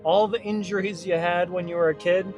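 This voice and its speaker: Fitness coach, male, 30s, a touch high-pitched, blunt and energetic, casual to-camera delivery, faint music bed. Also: a little fast. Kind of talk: casual direct-to-camera talk.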